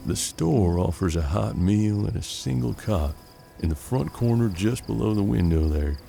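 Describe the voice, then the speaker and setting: A man playing a seasoned, pragmatic bounty hunter in a western audio drama, with measured, folksy frontier talk. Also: on the low side, animated, vocal fry, warm tone, soft background music. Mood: measured, shrewd. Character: seasoned male bounty hunter detective of 1870s Kansas, steady, pragmatic.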